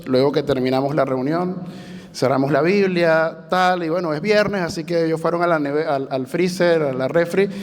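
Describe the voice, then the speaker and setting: A Venezuelan man in his thirties with a Venezuelan accent, a church planter telling his story conversationally on a panel. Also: fairly high pitch. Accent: Venezuelan Spanish. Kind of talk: panel testimony. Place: large hall, panel on stage. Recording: reverberant hall.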